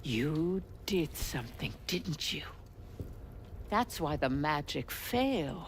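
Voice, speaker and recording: An actress playing a grieving, suspicious woman who dabbles in dark magic, in a video game cutscene. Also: sits low, big pitch swings, slow pace, full character voice. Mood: bitter, accusing. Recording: loud noisy ambience around voice.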